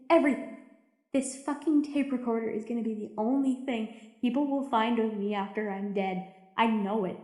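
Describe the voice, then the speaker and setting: A woman acting a lonely, anxious, jaded deep-sea station survivor in an audio drama, rambling and stammering through a confessional recorded log. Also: a little mellow, close to an everyday voice. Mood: bitter, despairing. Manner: rambling, halting, stammering, self-interrupting. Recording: echoey room.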